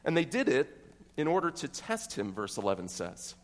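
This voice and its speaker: A man's voice: on the high side, wildly swooping pitch, normal speed, big-room sound. An American man from central Pennsylvania preaching an expository sermon as pastor, earnest and methodical, often posing rhetorical questions. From central Pennsylvania, USA.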